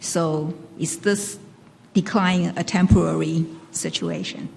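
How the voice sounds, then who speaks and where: A woman's voice: lowish voice, steady tone, slow, vocal fry, darkish tone, slight background noise. Woman moderating in a reverberant hall. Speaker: conference call moderator. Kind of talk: restating and fielding questions on an earnings call.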